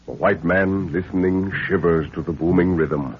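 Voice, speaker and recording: An actor serving as the grave, dramatic narrator of an old jungle mystery radio serial, reading scripted narration. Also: male voice, deep voice, steady tone, normal speed, noisy background, natural voice. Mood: ominous. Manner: portentous, dramatic. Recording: tinny, band-limited 1930s radio recording.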